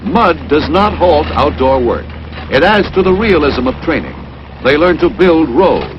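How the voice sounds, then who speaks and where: A man delivers 1940s newsreel narration in an emphatic announcer manner, his voice pitched fairly high and swinging widely. The sound is muffled and lo-fi, with a steady hiss from an old film soundtrack. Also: a little slow.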